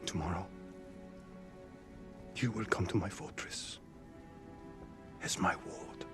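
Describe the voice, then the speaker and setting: An actor playing a stern, noble middle-aged samurai lord in a video game, grave, formal and hushed. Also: male voice, sits low, takes it slowly, music in the background. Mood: solemn. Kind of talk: dramatic video game acting.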